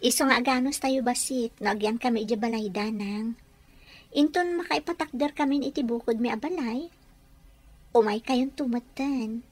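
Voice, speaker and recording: A woman playing a caring, practical young bride-to-be in a radio drama, solicitous and gently insistent. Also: a little high, moderate pace, natural voice. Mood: gently reassuring.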